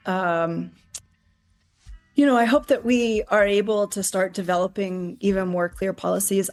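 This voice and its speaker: A middle-aged woman speaking as an interview guest about academic publishing, animated and emphatic with occasional restarts. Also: mid-range voice. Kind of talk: casual interview talk.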